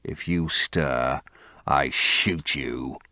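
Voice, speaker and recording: A man voicing a vengeful sailor who threatens slowly and deliberately. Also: a bass voice, bright, forceful tone, no room sound, studio quality, voice pushed down, exaggerated voice. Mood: menacing. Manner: slow, menacing, deliberate.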